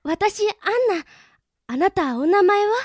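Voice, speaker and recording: A woman playing a shy, polite transfer student girl who speaks haltingly with slightly off phrasing, in a radio drama. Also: very high-pitched, lively intonation, no room sound, studio quality.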